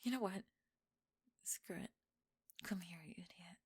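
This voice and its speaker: A woman playing a young tomboy friend in an intimate ASMR roleplay, halting and flustered, teasing gruffly and often slipping into whispers. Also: fairly low pitch, darkish tone, heavily acted. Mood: tender, teasing.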